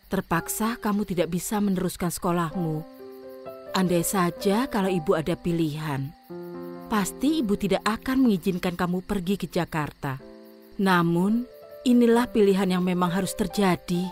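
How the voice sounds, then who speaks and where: A woman's voice: lowish voice, moderate pace, darkish tone, faint music behind, close to an everyday voice. An actress playing a poor village mother who speaks tenderly and pleadingly to her son in an audio drama.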